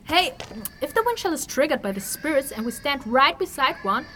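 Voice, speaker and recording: A woman's voice: sits high, big pitch swings, a little fast, bright, forceful tone, a little hiss or hum, heavily acted. A woman playing a cheeky, naive adventurer in a fantasy audio drama, with casual modern speech and playful sarcasm.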